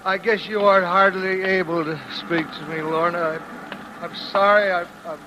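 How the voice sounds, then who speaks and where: An actor playing a drunken, grieving ex-husband, halting and repetitive, in 1940s radio drama style. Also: male voice, sits high, steady tone, dull audio, lightly acted. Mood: maudlin, remorseful. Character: drunken, grieving ex-husband who has killed. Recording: noisy old radio recording.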